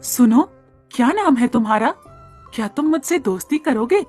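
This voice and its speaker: A woman voicing a gentle, friendly young cow in an animated moral story, polite and eager to please. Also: high-pitched, moderate pace, breathy edge, soft background music. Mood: friendly, shy. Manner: polite, pleading.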